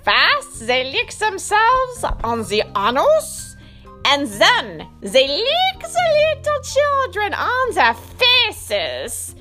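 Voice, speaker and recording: A woman's voice: extremely high pitch, wildly swooping pitch, drawn-out words, pushed and bright, soft background music, pitched above the performer's own voice, heavily acted. A woman doing a comic impression of a disgusted male scientist with a German accent, emphatic and indignant.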